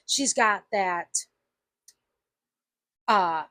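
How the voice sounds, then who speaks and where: Indignant woman with a bright, edgy voice. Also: medium pitch, extremely slow, dry recording, studio quality. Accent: slight Southern US. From United States. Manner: indignant, emphatic, repeats herself. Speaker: vlogger. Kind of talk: angry opinion rant to camera.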